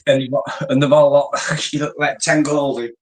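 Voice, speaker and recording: A man's voice: medium pitch, fairly even intonation, measured pace. A man, bantering in a blunt, sweary, laddish way as co-host. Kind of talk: casual banter.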